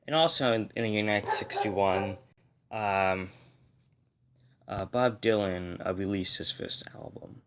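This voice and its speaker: A man hosting a music history video, talking casually to viewers in a rambling, self-correcting way, slightly hoarse from getting over a cold. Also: lowish voice, a little slow, fairly bright tone, a little hiss or hum. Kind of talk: casual explainer talk to viewers.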